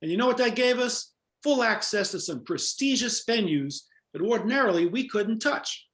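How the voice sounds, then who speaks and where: A man's voice: high-pitched, lively intonation, normal speed, fairly bright tone, roomy sound. An older man with an American accent, reminiscing and explaining in a conversational interview. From Jamaica-born, raised in New York. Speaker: interview guest, former club promoter.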